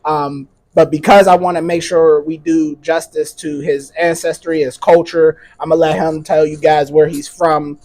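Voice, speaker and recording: A man's voice: fairly high pitch, level tone, moderate pace, few pauses, darkish tone. A man hosting an interview in a laid-back way with many fillers. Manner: laid-back, laughs often, lots of fillers. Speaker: host.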